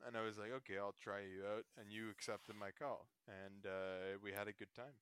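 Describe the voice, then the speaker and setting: A man's voice: on the low side, steady tone, a little fast, a bit bright, smooth, no room sound. A Canadian man calling in to chat casually, joking and often repeating his phrases. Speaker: caller and game contestant.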